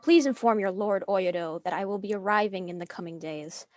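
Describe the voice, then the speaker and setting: A woman acting as a defiant young nun with a medium-pitched voice. She is proud and commanding, speaking emphatically as in a staged drama. Faint music plays behind her. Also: fairly quick, a little mellow, lightly acted. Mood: curt, guarded. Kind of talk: dramatic script reading.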